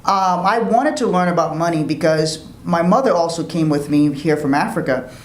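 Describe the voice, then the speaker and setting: A man tells his life story as an interview guest. He speaks earnestly and restarts phrases as he thinks. His voice is slightly high, and the room has a noticeable echo. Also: moderate pace. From Liberia. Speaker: guest, financial professional.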